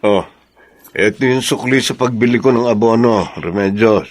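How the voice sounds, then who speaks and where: An actor playing a devoted, humble farmhand in a Filipino radio drama, with a hesitant, understated delivery. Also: male voice, lowish voice, normal speed, loud music behind the voice, natural voice. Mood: matter-of-fact. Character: devoted, humble orphaned farmhand, quietly caring friend.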